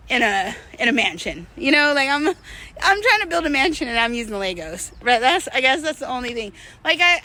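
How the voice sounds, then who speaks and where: A woman's voice: on the high side, sharp tone, slight background noise. A young adult woman talking frankly and expressively in a conversational personal vlog, sharing candid stories with some swearing. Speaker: vlogger. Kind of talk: candid personal storytelling to camera.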